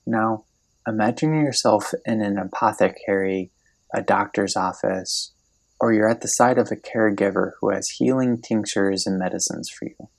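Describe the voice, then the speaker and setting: Male meditation guide, low register with heavy vocal fry, gentle and unhurried scripted delivery. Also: poor audio. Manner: gentle, unhurried, soothing instruction with long pauses.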